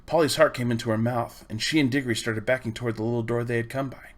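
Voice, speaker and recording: Male audiobook reader, medium pitch, expressive storybook narration with measured phrasing.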